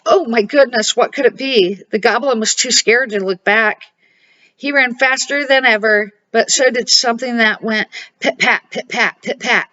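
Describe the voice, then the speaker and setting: An older woman narrating a children's storybook in a homespun read-aloud manner with dramatic pauses. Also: fairly high pitch, pushed and bright. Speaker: storybook reader and series host. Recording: dull, muffled home recording.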